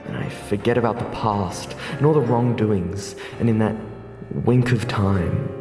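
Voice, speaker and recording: A man's voice: lowish voice, unhurried, natural voice. A man playing a vengeful, menacing character in a dramatic comic monologue, swearing and dropping into whispers. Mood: quiet menace. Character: vengeful man menacingly threatening a whale, unhinged and theatrical. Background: music.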